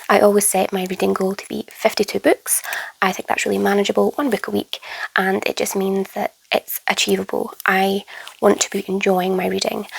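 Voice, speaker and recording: A young woman chatting to camera about her favourite books, her voice hoarse and croaky from a flu, enthusiastic and casual, often trailing into a breathy near-whisper. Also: mid-range voice, poor audio. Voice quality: hoarse, gravelly. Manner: chatty and enthusiastic, drifting into soft whispery stretches. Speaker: book vlogger. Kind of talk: casual reading wrap-up to camera.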